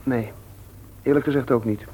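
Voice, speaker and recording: A man's voice: medium pitch, steady tone, normal speed, mellow, slightly rough, lightly acted, poor audio. A man playing an insolent, rebellious young son in a radio play, flippant and cool, sometimes hesitant. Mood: candid, guarded. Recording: old radio play recording.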